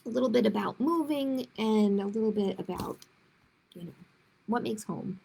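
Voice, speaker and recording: A woman's voice: mid-range voice, normal speed, creaky, mellow, breathy edge. A woman hosting a children's storytime, reading picture books aloud in simple phrases with casual asides to her listeners. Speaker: children's librarian, storytime host.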